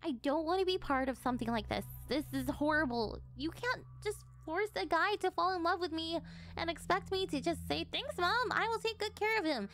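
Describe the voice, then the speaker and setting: A woman's voice: very high voice, normal speed, fairly bright tone, soft background music. A woman voicing an exasperated, easily embarrassed teenage daughter in an anime-style audio roleplay, protesting emphatically. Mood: indignant.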